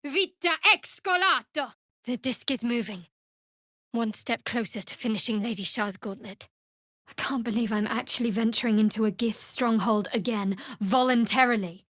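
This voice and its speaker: A woman voicing a guarded, sardonic young cleric of a dark goddess in a fantasy video game, with a dry, wry, measured delivery. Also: a little high, no room sound. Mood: urgent incanting.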